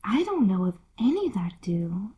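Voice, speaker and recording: Storybook girl, voiced by a woman: timid, well-mannered, inquisitive, soft breathy tone, unhurried. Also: medium pitch, very animated, exaggerated voice. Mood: polite, curious. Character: polite, timid, curious young girl, eager to please.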